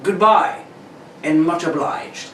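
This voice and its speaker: A courteous, curious secret-agent hero, played by a man, high and animated, narrating his discoveries like an old radio serial. The recording is roomy, distant and noisy. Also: slow pace. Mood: observing, intrigued. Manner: courteous, narrates what he sees aloud. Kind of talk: amateur read of a 1940 radio serial. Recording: echoey room, distant mic, clear noise.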